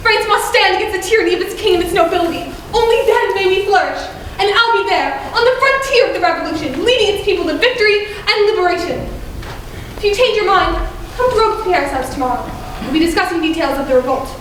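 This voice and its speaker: A woman's voice: very high-pitched, expressive, fast talker, fairly bright tone. A young woman, a student actor, playing an impassioned French revolutionary leader in a stage play. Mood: earnest, defiant. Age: young adult. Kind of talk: high school stage play acting. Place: school theatre stage, distant microphone. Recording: echoey stage from far off, faint noise.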